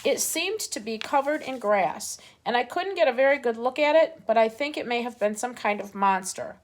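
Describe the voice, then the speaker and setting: A woman reading aloud, voicing a fearful, excitable male owl who hoots and screeches at a crowd of animals. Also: moderate pace, lightly acted. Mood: urgent. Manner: hooting, screeching, high and loud.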